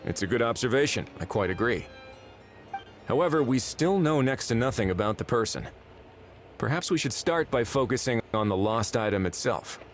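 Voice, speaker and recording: A man playing a calm, courteous, analytical investigator in an anime game, measured and articulate. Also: mid-range voice, lightly acted. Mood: composed. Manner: measured, articulate, reasoning aloud. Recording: game music behind the voice.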